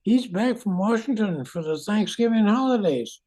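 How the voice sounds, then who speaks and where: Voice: mid-range voice, lively intonation, normal speed, mellow, natural voice, rough recording. A performer playing an earnest, kindly park ranger in an amateur radio drama. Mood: friendly.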